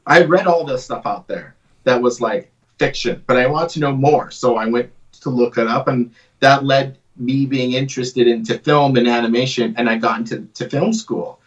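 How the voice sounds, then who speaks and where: A man's voice: mid-range voice, moderate pace. A man, a comic creator chatting as a guest in a jokey, rambling way. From Canada (Vancouver). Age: 40s. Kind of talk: casual interview chat. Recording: dull distant remote audio.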